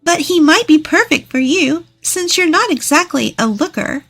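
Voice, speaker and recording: A woman's voice: sits high, expressive, normal speed, fairly bright tone, voice pushed up. A woman voicing a bossy, snooty older sister in her late twenties, exaggerated and scornful. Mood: pushy, scheming.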